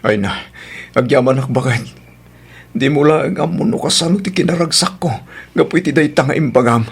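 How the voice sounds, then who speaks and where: A man's voice: a little high, a little fast, vocal fry, breathy edge. A Filipino man acting in an audio drama as a tender, apologetic husband who longs for a child. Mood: grateful.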